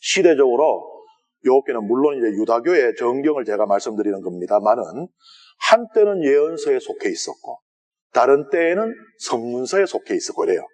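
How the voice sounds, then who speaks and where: A man with a Gyeongsang Korean accent lectures on scripture as a priest in a church sanctuary. His pitch sits mid-range for a man. He talks in a chatty, conversational way and keeps turning questions to the listeners. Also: very animated, fairly quick, a little mellow. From South Korea. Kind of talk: lenten Bible lecture to a congregation.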